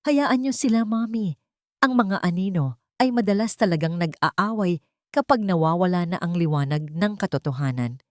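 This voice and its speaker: A woman's voice: on the low side, lively intonation, normal speed, no echo, studio quality, close to an everyday voice. A woman voicing a controlled, vengeful wife and mother in a dramatic story. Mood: cold, cryptic. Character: coldly composed vengeful wife and mother, cryptic.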